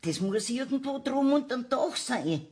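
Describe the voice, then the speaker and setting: A woman's voice: high-pitched, lively intonation, a little slow, darkish tone. Troubled old Bavarian woman. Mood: worried. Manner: plaintive, polite. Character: troubled, plaintive old woman with a garden cottage. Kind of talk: German radio play dialogue.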